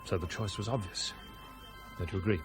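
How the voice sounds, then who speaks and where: An actor playing a stubborn, agitated airline captain in a radio drama, curt, indignant and insistent in defending himself. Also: male voice, sits low, fairly even intonation, a little fast, faint music behind, lightly acted. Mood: tense, defensive.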